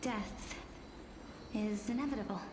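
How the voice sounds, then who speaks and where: An actress playing a poised young woman scholar in a video game, speaking in a measured, formal, theatrical manner. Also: medium pitch, background noise, close to an everyday voice. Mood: solemn, resigned. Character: poised young woman scholar, playful yet solemnly resigned. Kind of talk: scripted dramatic game dialogue. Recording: echoey long reverb, music behind.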